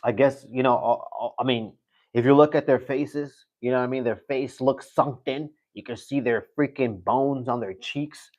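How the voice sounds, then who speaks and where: A man's voice: mid-range voice, normal speed, a little mellow. Rambling man on a lo-fi stream. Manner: rambling, repeats himself, fillers and profanity. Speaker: livestream host. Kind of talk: casual live-stream commentary.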